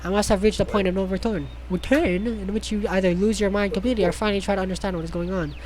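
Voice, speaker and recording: High-voiced male reader, hammy and sarcastic, tripping over words, faint noise behind. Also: steady tone, fast talker. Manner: theatrical, sarcastic asides, stumbles over words. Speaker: host reading a visual novel aloud. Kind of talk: mocking dramatic read-aloud with ad-lib commentary.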